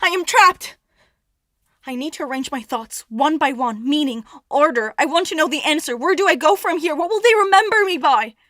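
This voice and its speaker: A woman playing a trapped, brooding princess of Greek myth in an intense, deliberate, poetic theatrical monologue. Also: high voice, expressive, a bit bright, dry recording, clean studio sound. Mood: anguished, trapped. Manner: slow, intense, deliberate delivery.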